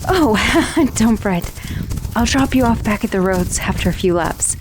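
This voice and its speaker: A woman's voice: medium pitch, expressive, unhurried, background noise, close to an everyday voice. A woman playing a friendly, chatty dullahan, a magical severed head, in an ASMR roleplay with casual, hesitant asides. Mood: warm, grateful. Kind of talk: ASMR roleplay acting, addressing the listener.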